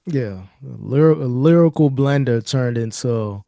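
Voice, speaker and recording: Mellow-voiced man chatting on a podcast. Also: mid-range voice, a little slow, creaky, dry recording, clean studio sound. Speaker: podcast host. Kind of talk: casual chat and commentary on news.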